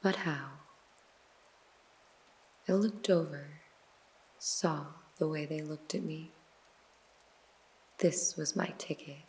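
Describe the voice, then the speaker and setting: A woman playing a weary hard-boiled detective, narrating a noir story in a slow, brooding half-whisper over faint music. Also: low voice, darkish tone, low-quality recording.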